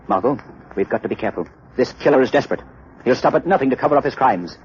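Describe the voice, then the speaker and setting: An old, muffled radio recording with some noise carries a man acting a composed, commanding gentleman sleuth. His crisp, theatrical voice sweeps widely in pitch, with an air of authority, in 1930s mystery-serial style. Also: lively intonation, fast talker, natural voice. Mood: urgent, wary.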